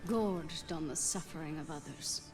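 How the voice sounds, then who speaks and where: An actress playing a solemn warrior woman, narrating a game trailer in a measured, grave, dramatic way. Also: sits low, natural voice. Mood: grave, ominous. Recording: loud cinematic music behind. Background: loud music.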